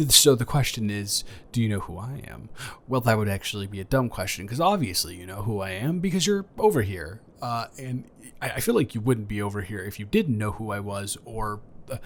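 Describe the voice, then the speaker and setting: A man playing an awkward, friendly neighbor in an improvised roleplay audio, stammering and rambling hesitantly. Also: on the low side.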